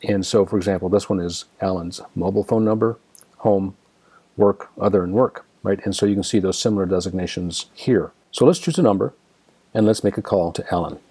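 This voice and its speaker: Male American tutorial presenter, dark soft-edged tone, dry close recording, explains each step methodically. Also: deep voice, brisk, breathy edge, no room sound. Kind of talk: step-by-step screen demonstration narration.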